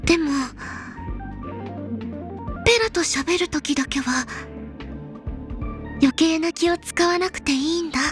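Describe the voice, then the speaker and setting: A reserved young snowfield explorer in a game. Her voice sits very high, and she takes her time, with clipped, deadpan lines. Soft music plays underneath. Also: a little slow, pauses often. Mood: laconic. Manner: low-key, laconic, softly deadpan. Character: aloof young female snowfield explorer girl. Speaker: game character voice. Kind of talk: game voice lines, Japanese dub.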